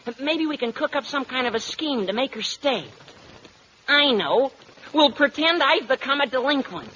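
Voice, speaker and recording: An excitable teenage schoolboy, naive and full of schemes. The voice is high and squeaky. It comes from a muffled, noisy old radio comedy broadcast. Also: moderate pace. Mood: alarmed, scheming. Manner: excitable, gawky. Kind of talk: vintage radio comedy acting.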